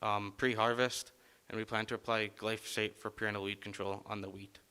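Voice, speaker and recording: A man's voice: fairly low pitch, little pitch movement, fairly quick, pushed and bright, smooth. A young man, a college student, wrapping up a class presentation and answering audience questions, repeating each question back with a few hesitant fillers.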